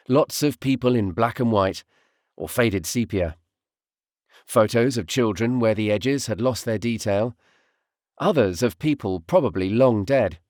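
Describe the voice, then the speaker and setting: A male narrator reads a first-person story for an audiobook. His pitch moves expressively across phrases that are measured and deliberate. The sound is dry, with no room around it. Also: darkish tone, dry recording.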